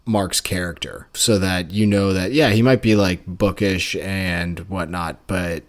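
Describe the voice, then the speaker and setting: A man's voice: deep voice, steady tone, measured pace. A man co-hosting a casual book club podcast, laid-back and jokey, slipping into folksy voices now and then. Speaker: podcast co-host.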